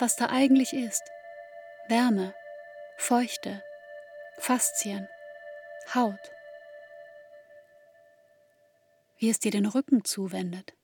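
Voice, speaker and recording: A grieving narrator in a literary radio play. Her voice sits fairly high and moves very slowly, addressing a bereaved mother with measured intimacy. Music plays softly behind her. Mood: somber, tender. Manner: slow, measured, intimate second-person delivery.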